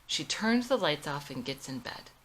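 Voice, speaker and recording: A woman's voice: low voice, sing-song, moderate pace, a bit bright, rough recording. A woman narrating the stage directions of her own screenplay in a scripted read.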